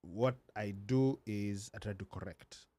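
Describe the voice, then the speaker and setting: Halting man in casual chat. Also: on the low side, mellow, no room sound. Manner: halting, stumbles and repeats himself.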